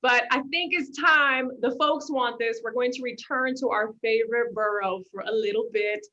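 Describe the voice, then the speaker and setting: Female moderator: higher pitch, bright delivery, slightly muffled recording. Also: normal speed. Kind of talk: live event hosting.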